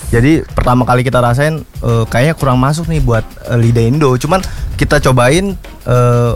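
A man's voice: mid-range voice, normal speed, soft background music. A man, a guest business owner chatting casually and hesitantly with many fillers. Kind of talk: casual interview talk.

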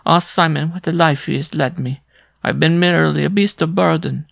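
Man voicing a high, plaintive, worn-out peasant woman. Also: normal speed, vocal fry, no echo, studio quality. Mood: weary lament. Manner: plaintive, sobbing lament. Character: gaunt, worn-out peasant woman, pleading and bitter.

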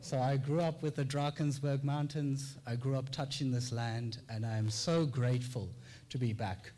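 A man from South Africa with a South African accent, giving a measured, formal conference presentation and using rhetorical repetition. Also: mid-range voice, fairly even intonation, mellow. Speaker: tax clinic presenter.

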